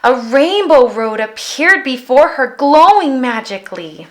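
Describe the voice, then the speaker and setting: A woman narrates a children's picture book in a fairly high voice. She reads expressively and slowly, giving each phrase room. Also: sing-song. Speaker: storybook reader.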